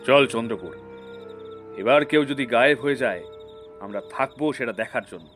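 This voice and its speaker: A man performs a brooding police detective in an audio drama. He is terse, grave and resolute, with a middling pitch over a music bed. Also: normal speed, a bit bright, close to an everyday voice. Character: brooding, determined male police detective, elder brother.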